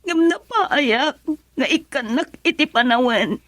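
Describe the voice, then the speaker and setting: Hurt young wife in a radio drama, really high voice, begging her husband, dry sound. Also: animated, slow, fairly bright tone, dry recording, full character voice. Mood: hurt, pleading.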